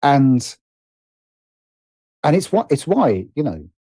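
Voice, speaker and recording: A man's voice: mid-range voice, very animated, takes it slowly, warm tone, no echo. A middle-aged British man speaking reflectively as an interview guest, often stopping to rephrase himself. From Britain. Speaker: guest, writer and producer.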